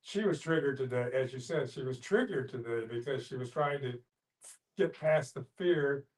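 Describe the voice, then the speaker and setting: A man's voice: mid-range voice, moderate pace, darkish tone. Reflective man, recorded from a distance. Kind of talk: casual group discussion. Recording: voice picked up from a distance.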